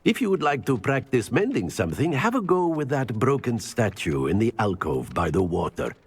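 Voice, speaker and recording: Husky, jovial wizard professor, very animated. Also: male voice, medium pitch, normal speed, dry recording. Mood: jovial, encouraging. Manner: florid, enthusiastic, precise diction. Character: jovial, theatrical male wizard charms professor. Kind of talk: video game voice acting.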